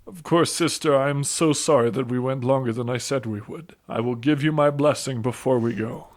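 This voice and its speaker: A man's voice: medium pitch, normal speed, close to an everyday voice. A man playing a courteous, solemn priest who conducts interviews in a religious audio drama. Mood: solemn, kindly.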